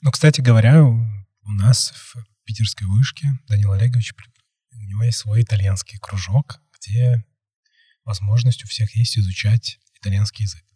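A man's voice: on the low side, moderate pace, mellow, husky, no echo, studio quality. A young man hosting a casual podcast, talking in a rambling way full of fillers and hedges. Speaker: podcast host.

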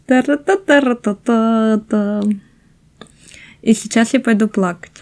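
A woman's voice: a little high, unhurried, a little mellow. A woman in her early thirties co-hosting a podcast, telling stories in a chatty, informal way. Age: early 30s. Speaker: podcast co-host. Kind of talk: casual chat among co-hosts.

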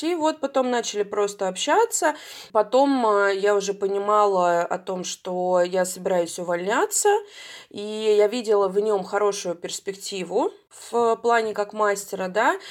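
A woman's voice: mid-range voice, a little mellow. Woman in her 30s chatting away as a guest, lots of ums and restarts. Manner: chatty, hesitant, frequent "uh" fillers and self-corrections. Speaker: guest, psychotherapist and coach. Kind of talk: casual storytelling in an interview.

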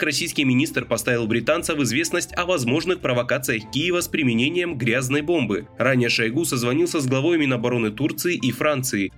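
A male news presenter delivers a scripted bulletin. His voice sits at a medium pitch and his delivery is formal and measured. Music plays underneath. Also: a bit bright. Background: music bed.

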